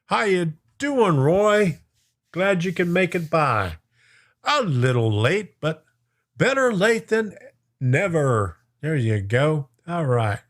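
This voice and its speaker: A man's voice: on the high side, big pitch swings, slow pace. Folksy man hosting a chatty live stream. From lives in Florida. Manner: folksy, chuckling, talks to viewers by name. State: voice getting worn out. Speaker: host of a live stream on model trains. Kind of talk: casual live-stream chat reading viewer comments.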